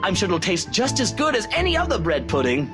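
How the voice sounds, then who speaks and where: A woman's voice: medium pitch, huge pitch swings, brisk. A woman playing an exasperated, sardonic adult minding a small child in a visual novel, snide and theatrical with sugary pet names. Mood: exasperated, coaxing. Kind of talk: visual novel character acting. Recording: music under the voice.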